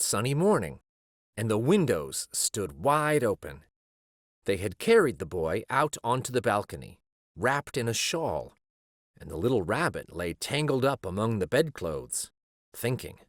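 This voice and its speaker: A man reading a children's story aloud as a storyteller, with measured, dramatic phrasing. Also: lowish voice, dry recording, clean studio sound. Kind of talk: expressive scripted storybook narration.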